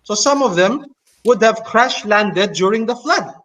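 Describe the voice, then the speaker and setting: A man speaking with a Chinese and Tagalog accent, his voice sitting fairly high. He stops and restarts often, filling gaps with "uh" while laying out his rebuttal on a casual podcast call. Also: animated, unhurried, a bit bright. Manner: hesitant, many fillers and restarts, mocking laughs. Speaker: co-host.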